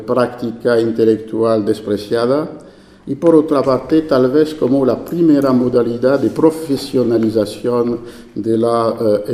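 A man with a French accent, a native French speaker, giving a formal academic conference lecture in a university lecture room, measured with occasional hesitations. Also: medium pitch, dark tone. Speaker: invited speaker. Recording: echoey lecture hall.

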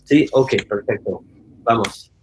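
A man's voice: medium pitch, slow, very noisy. A man whose first language is French, giving an online guest lecture in hesitant speech with many fillers and stray English and French words. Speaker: guest speaker. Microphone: video call.

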